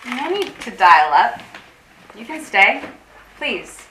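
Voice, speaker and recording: Anxious, bright-voiced woman in echoey room acted by a woman. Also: a little high, big pitch swings, takes it slowly, full character voice. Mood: anxious, flustered. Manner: rambling, self-correcting, awkward. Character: anxious, wry, guarded woman running a tech-averse support group. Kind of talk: staged play reading, naturalistic dialogue. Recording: roomy, distant mic with faint noise.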